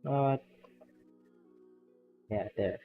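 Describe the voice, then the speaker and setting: Male gaming streamer, unhurried, chatty, faint game music under a muffled mic. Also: mid-range voice. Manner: chatty, laughs often, reacts loudly to game results. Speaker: streamer and VTuber. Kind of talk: casual gaming stream chat.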